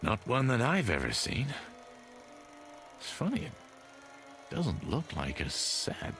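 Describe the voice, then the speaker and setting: A man's voice: mid-range voice, wildly swooping pitch, takes it slowly, a bit bright, exaggerated voice. An actor playing a worried, caring male friend in an old television drama, hesitant and urgent in manner. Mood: stunned. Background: faint music.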